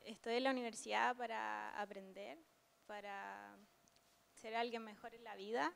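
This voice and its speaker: A woman's voice: a little high, fairly even intonation, unhurried, creaky, a bit bright. A young woman student answering a question from the audience, hesitant, speaking from far off the microphone. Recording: distant from mic in a hall.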